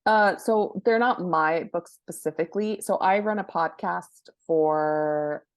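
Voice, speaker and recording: A woman guest expert giving conversational interview answers, haltingly rephrasing as she goes. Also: on the low side, no room sound. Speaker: guest expert, book marketing professional.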